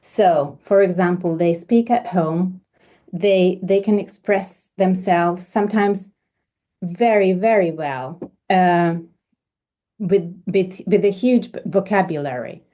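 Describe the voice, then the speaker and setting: A woman from Hungary, a homeopath guest speaking in a relaxed interview, hesitant and often repeating words as she thinks. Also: lowish voice, slow. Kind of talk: conversational interview.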